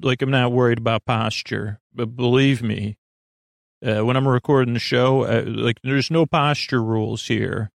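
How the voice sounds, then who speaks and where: A man hosting a bedtime podcast in a meandering, halting monologue, often interrupting himself and drifting off on tangents, with a creaky voice. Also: mid-range voice, no room sound, studio quality. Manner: halting, digressive, self-interrupting. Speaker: podcast host.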